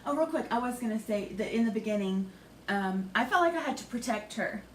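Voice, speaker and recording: A woman's voice: fairly high pitch, animated. A supportive, giggly woman guest in casual chat, chiming in with encouragement. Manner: supportive, giggly, talks fast. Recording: echoey room with faint noise.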